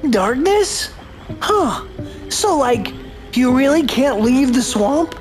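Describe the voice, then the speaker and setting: A goofy cartoon slacker dude, squeaky and cracking, slangy drawl, whiny, way high. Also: male voice, sing-song, slow, faint music behind, exaggerated voice. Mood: laid-back, puzzled. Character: goofy, hungry, cowardly laid-back young slacker man. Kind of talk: video game cartoon acting.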